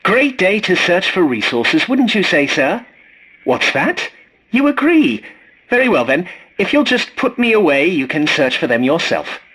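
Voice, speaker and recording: An actor playing a fussy, polite, snarky scavenger robot in a video game, with a prim, formal and dryly sarcastic delivery. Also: male voice, sits high, big pitch swings, a little slow, a bit bright, slightly rough, exaggerated voice. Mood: chipper, snarky. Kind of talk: scripted video game character lines.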